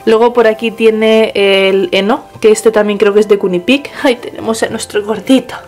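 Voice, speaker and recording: A woman's voice: medium pitch, steady tone, moderate pace, a little mellow, soft background music. Chatty young woman. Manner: chatty, restarts and self-corrections. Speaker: pet vlogger. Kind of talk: casual home tour. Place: bedroom at home. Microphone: handheld small camera.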